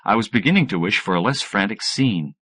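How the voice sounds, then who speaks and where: Bright-voiced, animated male audiobook narrator. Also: on the low side, a little fast. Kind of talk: expressive scripted first-person fiction narration.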